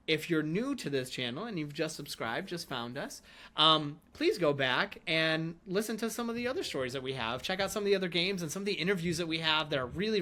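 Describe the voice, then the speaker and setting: Bright, higher-voiced man chatting as host. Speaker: podcast host and writer. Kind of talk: casual podcast chat about writing.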